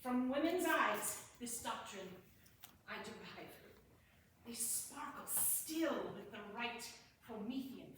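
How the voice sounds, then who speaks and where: A woman playing a witty, passionate young lord in a Shakespeare staged reading, declaiming verse with dramatic pauses. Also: high-pitched, a bit bright, exaggerated voice. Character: witty, passionate young lord, eloquent lovesick male scholar. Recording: distant, echoey hall with faint noise.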